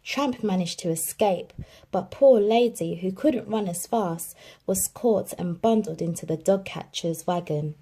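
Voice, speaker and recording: Woman reading a storybook aloud, husky. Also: medium pitch, a little slow. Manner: expressive storytelling. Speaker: children's book reader.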